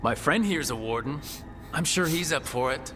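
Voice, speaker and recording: Plain-spoken game hero, slightly high voice, very varied intonation, wry, music behind. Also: male voice, expressive, moderate pace, bright, forceful tone. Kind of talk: video game fantasy acting.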